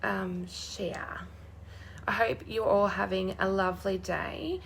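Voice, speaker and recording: A young woman with a hoarse, croaky voice chatting bubbly on a live stream, greeting viewers by name. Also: mid-range voice, steady tone, slow pace, slight background noise. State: hoarse, losing her voice. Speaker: crafting demonstrator hosting a live stream.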